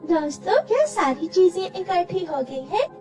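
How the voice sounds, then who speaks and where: A woman's voice: extremely high pitch, sing-song, normal speed, mellow, voice pushed up. A woman voicing a sweet little girl bird in a cartoon story, bubbly, childlike and eager. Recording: distant mic with music behind.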